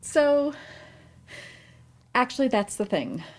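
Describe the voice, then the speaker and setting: A woman's voice: sits high, lively intonation, slow. A woman acting a hesitant, affectionate young woman confiding in a friend, in a conversational staged play reading. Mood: nervous, hesitant.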